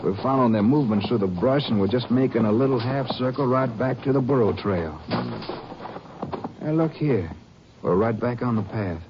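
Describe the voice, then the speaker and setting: A man's voice: mid-range voice, normal speed, natural voice. Casting: man playing a folksy, colloquial Ranger sidekick, medium register, vintage radio serial, muffled and noisy. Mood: puzzled, wary. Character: plain-spoken male Ranger sidekick who knows the country. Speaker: radio actor. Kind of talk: 1950s radio drama acting. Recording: noisy, muffled old-time radio recording.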